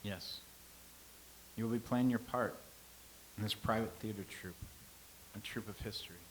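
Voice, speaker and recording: A man reading the part of a calm, philosophical old-time scribe, speaking in a measured, lecturing way. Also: lowish voice, a little hiss or hum, lightly acted, low-quality recording. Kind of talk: staged reading.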